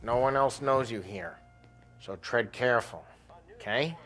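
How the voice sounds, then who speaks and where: An actor playing a brash, wisecracking mob enforcer with a cocky, casual manner in a video game. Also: male voice, mid-range voice, a little slow, close to an everyday voice. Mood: casual, needling. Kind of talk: cinematic video game acting.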